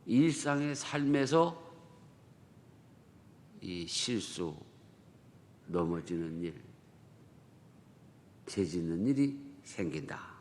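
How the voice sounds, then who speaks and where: A man's voice: low-pitched, sing-song, drawn-out words, long pauses, darkish tone, a little hiss or hum. A male pastor with a Chungcheong Korean accent preaching a dawn prayer sermon in a conversational manner, full of rhetorical questions and dialect jokes. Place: church sanctuary. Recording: long church hall reverb.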